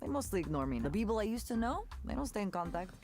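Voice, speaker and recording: A woman's voice: mid-range voice, big pitch swings, very fast, a little mellow, soft background music, lightly acted. An actress playing an eccentric, independent woman who loves synthesizers, talking in a chatty, enthusiastic way. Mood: musing. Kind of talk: video game voice acting.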